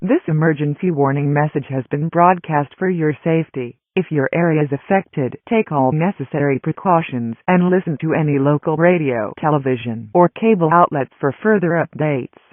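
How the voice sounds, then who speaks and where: Male warning announcer, slightly high register, thin telephone-band sound, formal instructive scripted delivery. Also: normal speed, hardly any pauses, dry recording. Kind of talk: scripted recorded warning message. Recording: very dry, phone-like narrow band.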